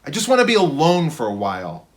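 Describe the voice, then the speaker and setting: A man's voice: a little high, wildly swooping pitch, quick pace, pitched above the performer's own voice. A man voicing a sulky, irritable twelve-year-old girl in a children's storybook, curt and exasperated with her mother. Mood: irritated, sulky.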